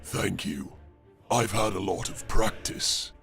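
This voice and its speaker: A man voicing an ancient, hulking stone golem in a fantasy audiobook, with a grating, rumbling voice and a slow, taunting manner. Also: creaky, a bit bright, faint music behind, heavily acted. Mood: dry, unimpressed.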